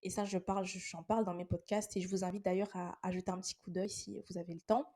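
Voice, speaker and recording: A woman's voice: fairly low pitch, level tone, fast talker, dark tone. A young woman with a head cold hosts a casual faith talk podcast, speaking to her listeners in an earnest, encouraging way. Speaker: podcast host.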